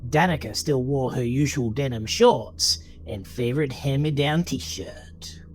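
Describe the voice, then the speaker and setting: A man narrating a scripted horror story in a measured, dramatic storytelling manner. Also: medium pitch, slight background noise. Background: music.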